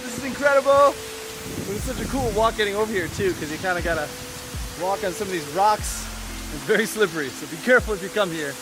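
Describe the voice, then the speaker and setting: A man's voice: high-pitched, expressive, a little fast, music in the background. A young man from the United States narrating a casual travel vlog outdoors, upbeat and chatty. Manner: upbeat, chatty, exclaims often. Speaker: travel vlogger.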